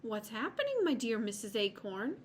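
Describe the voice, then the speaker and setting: A woman's voice: on the high side, big pitch swings, moderate pace, a little hiss or hum. A woman voicing a friendly, motherly hazelnut neighbour in a children's storybook, polite and chatty. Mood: concerned.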